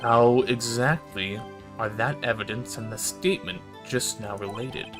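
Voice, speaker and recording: A man voicing a stern, formal courtroom judge from a visual novel game in a measured manner. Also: mid-range voice, music in the background, natural voice.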